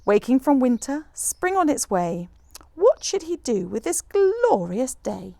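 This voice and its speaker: A woman reading a rhyming children's picture book aloud in an expressive, rhythmic storyteller's manner. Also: sits high, unhurried, vocal fry, dry recording. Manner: animated, rhythmic rhyming delivery.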